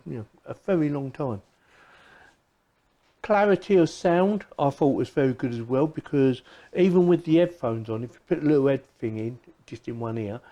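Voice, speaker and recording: A man with a mellow, relaxed voice talks casually through a product review. He is chatty and unscripted, circling back over his phrases as he explains. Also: mid-range voice. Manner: chatty, repeats phrases as he goes.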